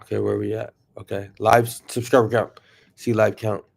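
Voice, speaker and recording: A man casually chatting to viewers on his livestream, rambling and excitable, sniffling from allergies. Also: on the low side, moderate pace, vocal fry, husky. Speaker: YouTuber livestreaming.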